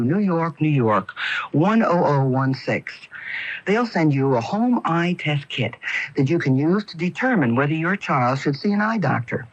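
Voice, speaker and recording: A man reading a scripted public service radio announcement in a plain, informative manner. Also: a little high. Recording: dull lo-fi radio broadcast.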